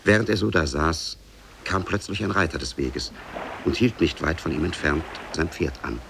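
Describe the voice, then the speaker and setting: Very deep, gravelly storyteller. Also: male voice, a bit bright, slight background noise, heavily acted. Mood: grave, measured. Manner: measured, formal. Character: grave male storyteller of an Arabian tale. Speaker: narrator. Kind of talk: scripted storybook narration, 1950s radio drama.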